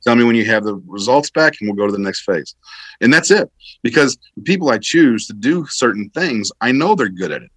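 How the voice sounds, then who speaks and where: A man's voice: medium pitch, animated, a little fast, fairly bright tone, no echo. A man speaking as an interview guest and military veteran, explaining his views conversationally in an emphatic, repetitive way.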